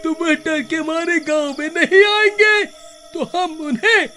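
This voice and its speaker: A man voicing a scheming, excitable male villager in a Hindi cartoon, urgent and conspiratorial. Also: extremely high pitch, measured pace, slight background noise, full character voice. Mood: worried, urgent. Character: scheming, excitable male villager, bald revenge-seeker's sidekick.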